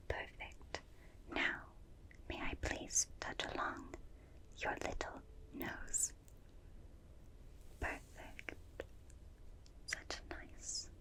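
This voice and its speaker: A woman voicing a gentle, curious alien examiner in a breathy whisper, slow and soothing, in an ASMR roleplay. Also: poor audio. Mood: curious, fascinated. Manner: slow, soothing, softly clinical, repeats phrases. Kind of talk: ASMR roleplay, personal attention.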